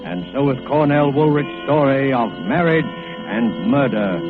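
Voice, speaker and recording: A man introducing a 1940s radio drama as its host, scripted, deliberate and portentous. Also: medium pitch, expressive. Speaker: mystery show host and narrator. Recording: muffled 1940s radio with music behind.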